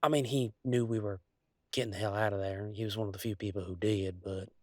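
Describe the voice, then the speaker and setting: A man playing an easygoing, affectionate country werewolf boyfriend, talking in a folksy, halting way in an intimate roleplay audio. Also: on the low side, steady tone, a little mellow, no echo, clean studio sound, natural voice. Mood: hesitant, uneasy. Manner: folksy, drawling slang, halting asides.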